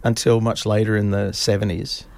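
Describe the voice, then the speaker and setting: A man in his fifties from Australia, chatting as a guest in a casual interview. He rambles through stories with plenty of ums and restarts. His voice sits a little low. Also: level tone, normal speed, gravelly, darkish tone. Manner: rambling storyteller, many fillers and restarts. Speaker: podcast guest.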